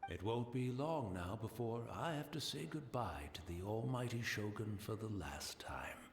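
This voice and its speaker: A sweet blind old tea house grandpa, rambly and polite, pitch bounces a lot, echoey room. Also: male voice, lively intonation, normal speed, a little hiss or hum. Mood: wistful. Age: older. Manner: rambling, courteous, old-fashioned. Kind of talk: video game cutscene voice acting.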